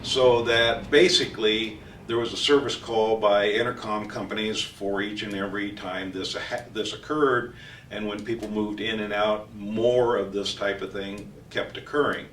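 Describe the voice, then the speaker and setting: A man giving an informal technical training explanation as a company trainer, speaking haltingly with frequent hesitations. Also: lowish voice, steady tone, a bit bright. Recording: roomy echo, distant mic, faint noise.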